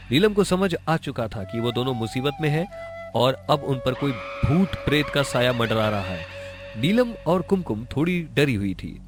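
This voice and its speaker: A man narrating a scripted horror story for a cartoon. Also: mid-range voice. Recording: music behind the narration.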